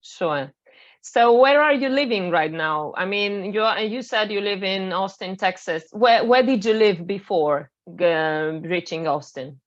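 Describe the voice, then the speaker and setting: A woman from Romania hosting a live online interview, often pausing and restarting her sentences. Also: mid-range voice, dull audio. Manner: hesitant, restarts phrases often. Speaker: interview host. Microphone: video call.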